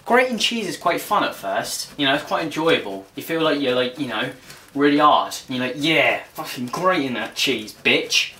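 Young brit guy chatting through a cooking vlog, bright cheeky voice, noisy camera mic in a kitchen. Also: medium pitch, big pitch swings, moderate pace. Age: young adult. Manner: playful, cheeky, sweary. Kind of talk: casual jokey cooking narration to camera. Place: small home kitchen, indoors. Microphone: camera a short distance away. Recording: clear background noise, home camera microphone.

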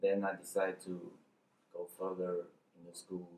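A young man, a PhD student introducing himself in a halting, shy way. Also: sits low, monotone, darkish tone. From China. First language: Chinese. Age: young adult. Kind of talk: casual self-introduction. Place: conference room. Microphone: distant camera mic. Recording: roomy, distant mic.

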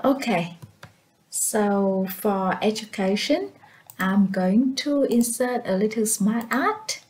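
A woman whose first language is Vietnamese, narrating a step-by-step software tutorial as its presenter. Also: medium pitch, slow.

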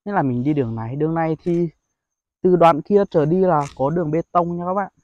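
A man's voice: on the high side, fairly quick, a little mellow, no echo, muffled recording. Chatty young guy, phone vlog. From Vietnam. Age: young adult. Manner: chatty, addresses viewers as brothers, frequent exclamations. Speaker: vlogger. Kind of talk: casual outdoor vlog. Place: outdoors among rice paddies and hills. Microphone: phone held at arm's length.

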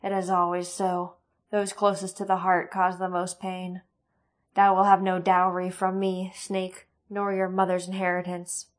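A woman's voice: fairly low pitch, monotone, a little slow, lightly acted. A woman voicing an enraged older male village butcher who snarls bitter accusations.

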